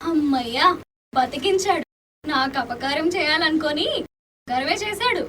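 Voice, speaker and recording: A woman's voice: high voice, animated, measured pace, fairly bright tone, far from the mic, slight background noise. An actress playing a frightened but spirited young woman on the run in a radio play, dramatic in delivery. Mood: relieved, wary. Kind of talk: radio play acting in Telugu.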